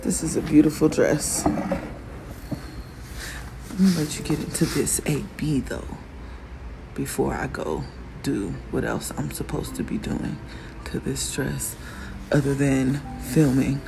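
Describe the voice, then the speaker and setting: A raspy woman vlogging casually about her own work, admiring it out loud and laughing often. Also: very deep voice, measured pace, pauses often, background noise. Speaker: fashion designer vlogger. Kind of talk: casual show-and-tell vlog. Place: workshop in Arizona. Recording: music playing behind the voice.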